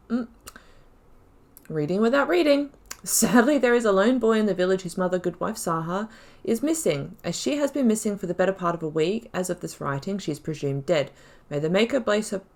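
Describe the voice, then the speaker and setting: A woman giving casual, self-deprecating commentary as she plays a video game. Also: mid-range voice.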